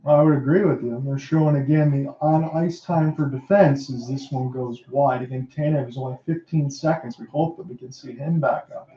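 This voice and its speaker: Excitable hockey play-by-play man, roomy sound. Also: medium pitch, slight background noise. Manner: rapid excited calls that rise sharply on chances. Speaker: play-by-play announcer. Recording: dull lo-fi roomy home mic.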